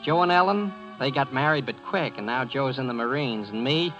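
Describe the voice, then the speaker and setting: A man's voice: medium pitch, normal speed, lo-fi sound, music in the background, lightly acted. An American man playing an earnest, plain-spoken teenage boy in a 1940s radio drama. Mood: easygoing narration. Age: teenager, about seventeen. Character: earnest, folksy teenage boy trapping his scheming stepfather. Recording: band-limited vintage 1940s radio recording.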